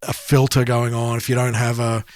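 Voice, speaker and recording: Male Australian music podcast host, relaxed conversational delivery, very little room sound. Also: mid-range voice, normal speed, no room sound, clean studio sound. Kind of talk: casual chat.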